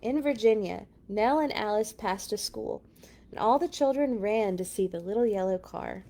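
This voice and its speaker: Expressive woman reading a children's story aloud. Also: mid-range voice, normal speed, a little hiss or hum. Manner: expressive, dramatic emphasis with chatty asides to listeners. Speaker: children's read-along storyteller. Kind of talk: animated children's picture-book read-aloud.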